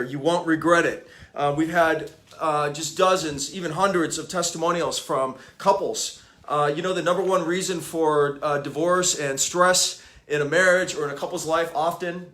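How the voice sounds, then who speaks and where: A middle-aged man from Hawaii hosts a casual live stream, his voice sitting a little on the higher side. He stops and restarts often, filling gaps with uh and um. Underneath the hesitations he stays enthusiastic as he reads reviews aloud and talks about growing up. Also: a bit bright. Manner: halting, many uh and um fillers, enthusiastic. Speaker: author and app developer hosting a live stream. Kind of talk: casual livestream talk, reading reviews aloud.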